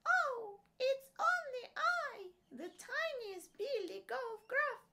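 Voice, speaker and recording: A woman's voice: very high-pitched, lively intonation, slow pace, bright, forceful tone, pitched above the performer's own voice, exaggerated voice. A woman voicing a tiny, timid young billy goat in a storybook tale, pleading in a small, thin voice. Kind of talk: storybook character voice.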